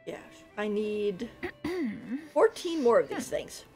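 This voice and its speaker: A woman's voice: mid-range voice, big pitch swings, slow, a little mellow. A woman giving casual live commentary as she plays a game, giggly and thinking aloud. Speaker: game streamer. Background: game music.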